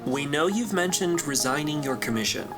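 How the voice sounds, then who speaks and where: A man's voice: a little high, expressive, normal speed, over music, lightly acted. A man acting an easygoing corporate interviewer in a sci-fi audio drama, informal, tactful and reassuring. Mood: serious, probing. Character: smooth, easygoing corporate interviewer at aerospace company.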